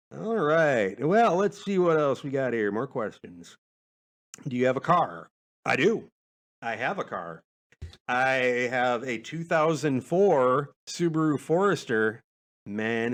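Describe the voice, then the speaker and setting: A man hosting a casual solo podcast, meandering through listener questions in a folksy, self-amused way. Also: mid-range voice.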